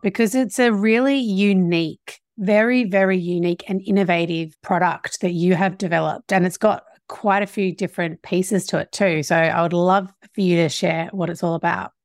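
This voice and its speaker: A woman's voice: lowish voice, steady tone, moderate pace, dry recording, clean studio sound. A woman hosting a podcast, bubbly and encouraging as she welcomes and questions her guest. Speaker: podcast host and marketing coach. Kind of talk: scripted intro, then casual interview chat.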